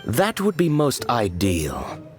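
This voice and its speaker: A man's voice: mid-range voice, huge pitch swings, slow pace. A man voicing a cold, menacing envoy in a video game, speaking formally and with condescension. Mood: coolly threatening. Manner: formal, condescending, veiled threats. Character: cold, menacing male envoy of a shady organization. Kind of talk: video game villain acting. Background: music.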